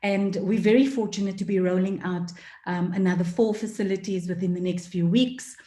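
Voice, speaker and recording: Earnest woman giving a talk on a video call, mellow voice, lots of ums, roomy echo. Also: mid-range voice, steady tone, normal speed. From South Africa. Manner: earnest, many fillers, emotional at times. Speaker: forum speaker. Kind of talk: passionate talk.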